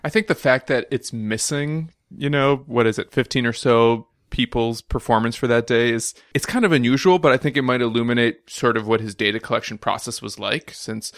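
A man's voice: medium pitch, a little fast, few pauses, fairly bright tone, dry recording, studio quality. Man with a Russian accent, analytical. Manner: analytical, joking asides. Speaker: podcast co-host. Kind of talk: casual podcast discussion.

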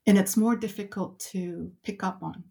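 A woman's voice: medium pitch, fairly even intonation, moderate pace, gravelly, mellow. Thoughtful woman telling a personal story. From Canada. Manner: thoughtful, hesitant, with many fillers and restarts. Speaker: podcast guest.

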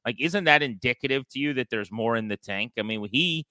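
Bright, forceful male sports podcast host. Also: mid-range voice, expressive, fairly quick, no echo, clean studio sound. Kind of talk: animated sports talk with a co-host.

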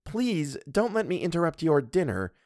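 Guy putting on a high, bossy storybook wife, haughty and scolding, pitch jumping all over. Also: big pitch swings, moderate pace, a little mellow, dry recording, clean studio sound. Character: imperious, fussy middle-aged woman, a spiritualist wife.

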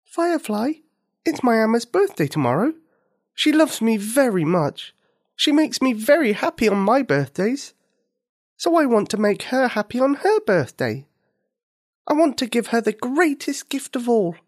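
A woman voicing a loving, earnest little girl in a children's story, with a high, pleading childlike voice. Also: very animated, a little slow, a little mellow.

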